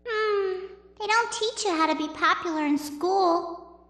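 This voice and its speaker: Very squeaky, puzzled little mummy girl. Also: a little slow, no echo. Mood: puzzled, musing. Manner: musing aloud, exclaiming. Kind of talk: cartoon video game cutscene acting. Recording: loud music under the voice.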